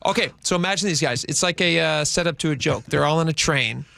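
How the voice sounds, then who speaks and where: A man co-hosting talk radio with a somewhat high, gravelly voice. He banters casually and jokes at his own expense. The studio sound is dry and close, with faint music underneath. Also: fast talker, bright, forceful tone, no room sound. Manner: chatty, joking, self-deprecating. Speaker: radio co-host. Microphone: studio microphone.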